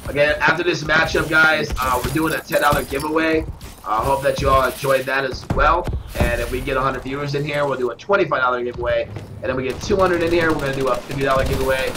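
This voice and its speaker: A man with a stuffed-up nose, commentating live at a gaming tournament, getting excitable on big kills. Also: medium pitch, fast talker. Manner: excitable, shout-outs to viewers, bursts of hype on kills. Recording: noisy with game gunfire behind.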